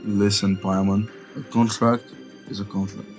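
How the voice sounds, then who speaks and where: A man's voice: lowish voice, flat delivery, normal speed. A man casually reacting as he plays through a video game, dropping short playful mock-solemn remarks. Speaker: let's play gamer. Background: video game music and dialogue.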